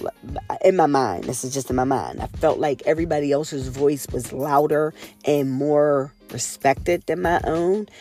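A woman hosting her own podcast in a candid, casual monologue, her voice raspy and hoarse from a cold. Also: deep voice, mellow. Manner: candid, earnest, swears casually. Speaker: podcast host.

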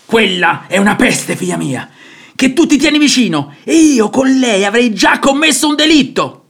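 Blustering nobleman father, high-pitched and swooping. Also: very animated, normal speed, fairly bright tone, heavily acted. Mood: fuming, insistent. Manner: blustering, imperious, exasperated. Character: stubborn, pious, domineering middle-aged nobleman father. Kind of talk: theatrical radio comedy acting.

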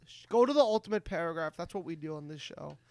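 A man's voice: fairly high pitch, expressive, fast talker, vocal fry, darkish tone, dry recording. A man hosting a casual comedic movie podcast in an informal, teasing way, stumbling over his words now and then. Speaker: podcast host. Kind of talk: casual comedic panel chat about movies.

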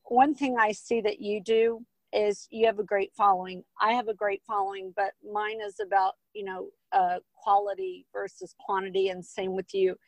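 A woman's voice: medium pitch, fairly even intonation, normal speed, hardly any pauses, no room sound, low-quality recording. American woman speaking in a dry recording. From Texas and Hawaii, United States. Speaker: podcast guest, business coach and author. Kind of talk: conversational interview answers.

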